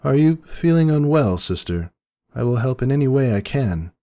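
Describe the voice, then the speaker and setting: A man's voice: mid-range voice, expressive, moderate pace, dark tone, voice pushed up, lightly acted. A man voicing a solemn, earnest younger-sister pony princess, speaking formally and hesitantly in a story reading. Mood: concerned.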